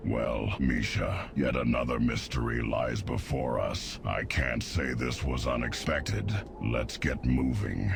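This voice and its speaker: A weary half-orc loner from a fantasy game. His voice is extremely deep and full of gravel. He speaks slowly and solemnly over prominent music. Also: heavily acted. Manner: slow, solemn, weighty delivery. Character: gruff, stoic half-orc wanderer and beastmaster, weary loner. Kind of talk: fantasy game cutscene acting.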